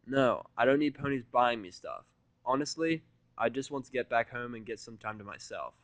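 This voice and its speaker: A man's voice: mid-range voice, moderate pace, dry recording, lightly acted. A man voicing an irritable, cynical human male with a sardonic, profane manner in a story reading. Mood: weary.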